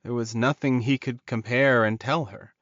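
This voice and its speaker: A man's voice: mid-range voice, fairly even intonation, normal speed, a bit bright, no echo, dull audio. A man reading scripted fantasy romance as an audiobook narrator.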